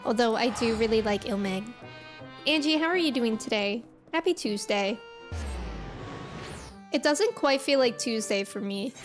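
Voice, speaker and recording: A young woman streaming a game, chatting casually and greeting viewers. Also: fairly high pitch. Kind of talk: casual live gaming commentary. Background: game music.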